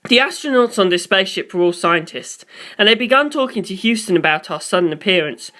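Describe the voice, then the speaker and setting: A man's voice: high voice, lively intonation, brisk, sharp tone. A man reading scripted first-person story narration.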